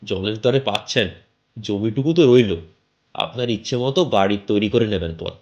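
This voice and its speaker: পুরুষ কণ্ঠে অভিনীত বকবকে, ঘ্যানঘেনে মাঝবয়সী বাড়ি-বিক্রেতা. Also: male voice, on the low side, expressive, normal speed, a little mellow. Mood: callous, pushy. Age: middle-aged. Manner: colloquial, wheedling, complaining chatter. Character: balding middle-aged man, garrulous, callous, grumbling house seller. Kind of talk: dramatised audio story dialogue.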